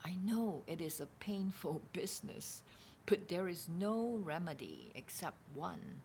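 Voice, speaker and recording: A woman informally reading a spiritual dialogue aloud as a teacher, with a deliberate, expressive and at times halting delivery. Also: on the low side. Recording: background room noise.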